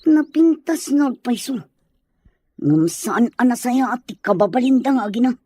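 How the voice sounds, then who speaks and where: A woman playing a practical, caring rural elder sister in an audio drama, gentle and matter-of-fact. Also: fairly high pitch, animated, moderate pace, dry recording. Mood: concerned. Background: faint music.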